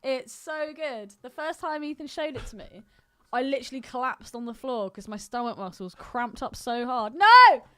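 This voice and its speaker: Expressive, higher-voiced woman co-hosting a podcast. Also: moderate pace, clean tone. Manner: expressive and blunt, prone to exclaiming and giggling. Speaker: podcast co-host. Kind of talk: casual, irreverent film-commentary chat.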